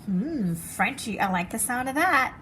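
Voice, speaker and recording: A woman reading as an excitable, fancy little girl from a storybook, dramatic and showy with her favorite words. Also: on the high side, unhurried, slight background noise. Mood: eager.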